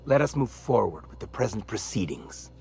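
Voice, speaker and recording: An actor playing a pompous, menacing meat tycoon and killer in a video game, florid and mock-polite, dropping into breathy whispers. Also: male voice, lowish voice, lively intonation, moderate pace. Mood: impatient, threatening. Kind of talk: video game character acting.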